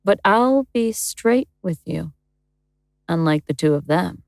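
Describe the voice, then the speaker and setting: A woman playing an ancient, powerful elder god in human guise, speaking with deliberate, imperious menace in a horror audio drama. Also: on the low side, lively intonation, creaky, a little mellow, dry recording, heavily acted. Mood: commanding, confident.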